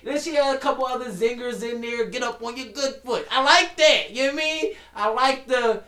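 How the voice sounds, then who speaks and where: A man's voice: very high-pitched, brisk, sharp tone, roomy sound. A man co-hosting a podcast, talking in casual, emphatic banter and laughing often. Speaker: podcast co-host.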